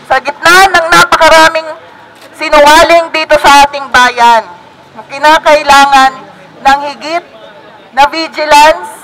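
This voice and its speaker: A speaker from the Philippines giving a measured, emphatic speech at an outdoor protest, phrase by phrase. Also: high voice, rough recording.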